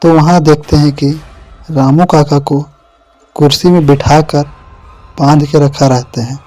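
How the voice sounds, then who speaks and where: A man's voice: a little high, fairly even intonation, a little slow, mellow, no room sound. A man giving a scripted narration of a horror story.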